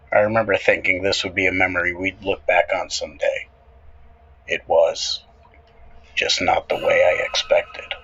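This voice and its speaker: A man hosting and narrating a scripted horror story with measured, deliberate phrasing. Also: low voice, bright, forceful tone, breathy edge, lo-fi sound. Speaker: horror show host and narrator.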